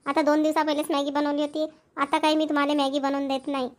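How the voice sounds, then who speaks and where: A woman plays a cartoon village mother who nags and scolds her children. Her voice is high and the words come very fast, in a rural Vidarbha Marathi accent. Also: flat delivery, lightly acted. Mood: firm scolding. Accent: Maharashtrian, Vidarbha Marathi. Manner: nagging, scolding. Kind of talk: cartoon family comedy dialogue.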